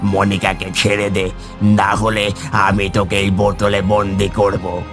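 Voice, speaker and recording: A man's voice: fairly low pitch, monotone, slow, music in the background. A man voicing a stern village imam and exorcist in a horror cartoon, solemn and commanding.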